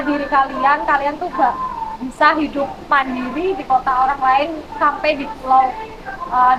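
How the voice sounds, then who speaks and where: A woman's voice: high voice, lo-fi sound. A young woman, chatting informally as a student guest on a talk show. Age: young adult. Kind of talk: casual talk show chat. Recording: clearly noisy background ambience.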